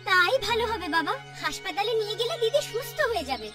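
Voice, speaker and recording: A polite young village housewife from a cartoon. The voice is very high and swoops with lively melody, caring and courteous. Loud music plays behind the slightly distant voice. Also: fast. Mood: agreeable. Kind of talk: cartoon voice acting, Bengali family comedy.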